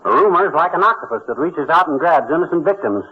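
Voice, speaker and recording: A man's voice: on the high side, very animated, fast, breathy edge. A man playing a folksy, blustery know-it-all husband in an old radio comedy, explaining things in homespun, ungrammatical talk. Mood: self-assured. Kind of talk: 1940s-50s radio comedy acting. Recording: dull old radio recording, faint noise.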